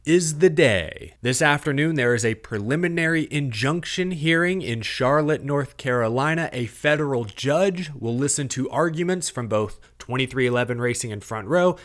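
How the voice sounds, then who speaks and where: An American man hosting a motorsport news show, giving a scripted recap and commentary in a clear, explanatory, emphatic way. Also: medium pitch, lively intonation, moderate pace, few pauses, fairly bright tone.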